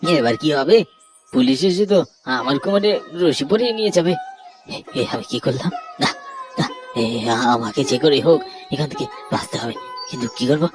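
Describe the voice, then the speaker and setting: Man acting as greedy, domineering older brother, higher voice. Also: animated, a little fast, over music, natural voice. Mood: panicked, scheming. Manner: scornful, domineering. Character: greedy, cruel older brother hoarding the family land. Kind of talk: Bengali horror cartoon dialogue.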